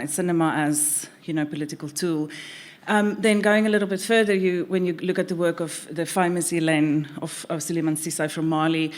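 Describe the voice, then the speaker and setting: A woman film scholar on a panel who speaks in a measured, academic way and repeats words while she thinks. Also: on the low side. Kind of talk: panel discussion.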